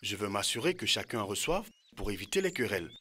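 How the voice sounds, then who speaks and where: A man playing a kindly, expressive old villager in an educational cartoon. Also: on the low side, fairly quick, slightly rough, exaggerated voice. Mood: thoughtful, kindly. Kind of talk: animated educational cartoon dialogue.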